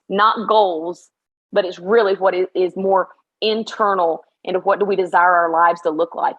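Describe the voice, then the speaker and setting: A middle-aged woman basketball coach, slightly hoarse after practice, talking in an emphatic, motivational way in a casual interview. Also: lowish voice, muffled recording.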